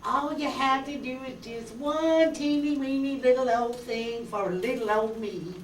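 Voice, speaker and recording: Distant and echoey, a woman plays a scheming Southern femme fatale in a radio drama. She takes her time, sugary and coaxing, in a slightly high voice. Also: a little slow. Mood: coy, coaxing. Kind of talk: 1950s radio noir acting on stage. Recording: echoey room, distant mic, background noise.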